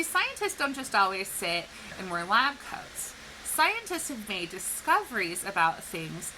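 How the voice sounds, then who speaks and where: A woman speaking like a teacher to young children, explaining things clearly and posing questions in an educational read-aloud. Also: fairly high pitch, bright, forceful tone, slight background noise, low-quality recording. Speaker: teacher reading a picture book to children.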